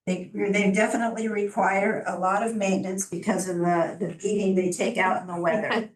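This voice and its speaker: A soft-spoken woman gives a friendly, informal presentation to a city council, stumbling now and then over her words. Her voice sits at a middling pitch. It is picked up from a distance, with the echo of a large meeting chamber around it. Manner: friendly, informal, with small laughs and restarts. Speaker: chamber of commerce director presenting. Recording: echoey council chamber from far off.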